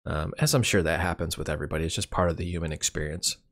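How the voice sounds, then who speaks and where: A middle-aged man with a very low, deep voice talks through his year in a loose, rambling vlog. His voice is hoarse and keeps cracking, and he sounds candid and wry. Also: steady tone, racing, a bit bright. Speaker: YouTube channel host. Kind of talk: casual year-in-review monologue.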